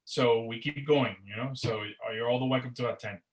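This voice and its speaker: A man's voice: mid-range voice, normal speed, rough recording. A man who organizes a career network gives rambling closing remarks on a video call, repeating words and using many "uh" and "you know" fillers. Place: Miami.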